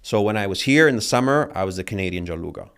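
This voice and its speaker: A young man born in Italy, whose first language is Italian, chatting casually as a podcast guest and telling stories in a laid-back, animated way with slang. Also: lowish voice, fast talker, clean tone. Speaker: guest chef.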